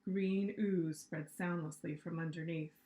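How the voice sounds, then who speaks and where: A woman's voice: fairly low pitch, steady tone, unhurried, dark tone. Woman reading a storybook, echoey room. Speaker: author reading aloud. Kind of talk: expressive children's storybook narration.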